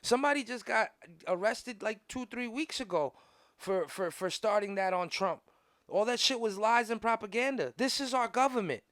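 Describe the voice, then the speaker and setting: A man's voice: sits high, expressive, normal speed, a bit bright, no echo, clean studio sound. An American man hosting a podcast, ranting casually about politics in an argumentative, emphatic way with heavy slang and swearing. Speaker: podcast host.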